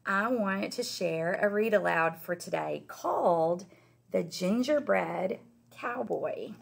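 A middle-aged woman, a teacher, reading a children's story aloud in an expressive, emphatic way. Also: medium pitch, takes it slowly, gravelly. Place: indoor school classroom.

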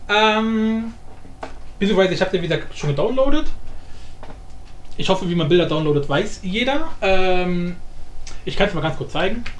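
A man giving a casual software tutorial, rambling informally in slang and thinking aloud. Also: high-pitched, animated, normal speed, long pauses. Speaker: tutorial host. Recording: echoey room, faint background noise.

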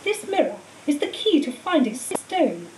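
A woman voicing a cold, treacherous male wizard teacher in an audiobook, speaking in a calm, menacing, matter-of-fact way. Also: a little high, moderate pace, natural voice. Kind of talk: audiobook character voice. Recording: roomy echo, distant mic, faint hiss.

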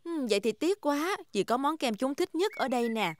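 A woman voicing a gentle, playful mother pig in a preschool cartoon, patient and teasing. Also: high voice, lively intonation, moderate pace, slight background noise. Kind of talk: Vietnamese dub of a preschool cartoon.

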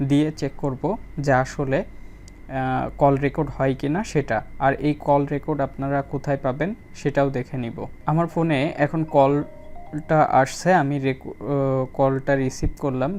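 A man's voice: mid-range voice, darkish tone, a little hiss or hum. A man giving a plain, step-by-step phone tutorial as a channel host. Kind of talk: spoken phone tutorial voice-over.

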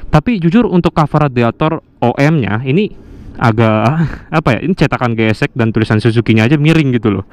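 A man's voice: medium pitch, expressive, a little fast, darkish tone. A young man with a Jakarta Indonesian accent, chatting casually and in slang as a motovlogger. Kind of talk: casual vlog commentary. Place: outdoors, around and riding a motorcycle. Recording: noisy action-camera audio, motorcycle engine and wind. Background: motorcycle and road noise.

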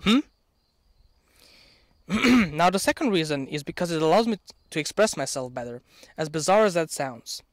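A young man speaks in a fairly high, light voice into a handheld mic out in an open field. His delivery is earnest and measured, slipping into dry, sardonic asides. The sound is close and dry, with no room around it. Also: expressive, no echo. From Bosnia and Herzegovina. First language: Serbian. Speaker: channel owner. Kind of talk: prepared opinion speech. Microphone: handheld microphone.

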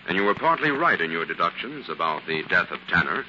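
Casting: assured 1940s radio detective, low and forceful, faintly noisy vintage sound. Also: male voice, very animated, brisk. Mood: assured, explaining. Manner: authoritative, explanatory. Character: assured male scientist detective explaining a solved case. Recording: dull, hissy 1940s radio recording.

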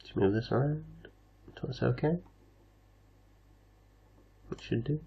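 A man hosting a casual software tutorial, explaining things step by step. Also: mid-range voice, a little slow, vocal fry, muffled recording. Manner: step-by-step instructional. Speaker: tutorial host.

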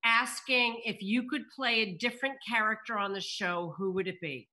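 A woman's voice: on the high side, normal speed, bright, forceful tone. An older woman moderating a casual group video chat, putting questions to the others. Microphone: computer mic on video call.

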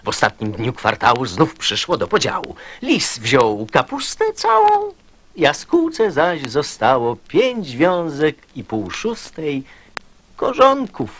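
A man narrates verse for children. His raspy voice moves slowly and swoops widely in pitch, giving each line an expressive shape.

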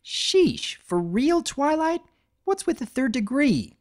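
A woman's voice: sits high, very animated, slow, darkish tone, pitched above the performer's own voice, full character voice. A woman voicing a young male baby dragon, defensive and stammering. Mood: defiant. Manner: stammering, pleading.

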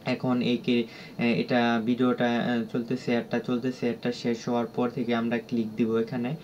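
A man with a Bangladeshi accent giving an unscripted app tutorial in a halting, repetitive, instructional way. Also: medium pitch, completely flat delivery, darkish tone. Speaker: tutorial presenter. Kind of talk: unscripted screen-recorded app walkthrough. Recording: dull lo-fi audio with clear background noise.

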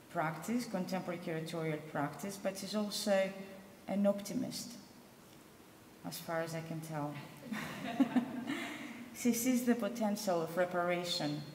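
A low-pitched, middle-aged woman introduces a speaker at a conference, pausing often with ums and uhs. She speaks into a handheld mic at a lectern, and her voice rings through a large hall with a long, roomy reverb. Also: a little mellow, slight background noise. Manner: halting, with frequent ums and uhs. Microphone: handheld microphone.

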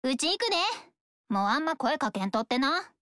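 Young female shrine maiden, Kansai accent, blunt, dismissive, lively high voice. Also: a little fast, dry recording, studio quality. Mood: annoyed. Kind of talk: game voice acting.

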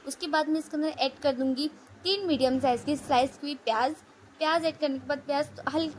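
High-voiced woman narrating a home recipe. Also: slight background noise, poor audio. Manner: steady step-by-step explaining, repeats phrases. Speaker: cooking channel host. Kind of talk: voice-over cooking tutorial.

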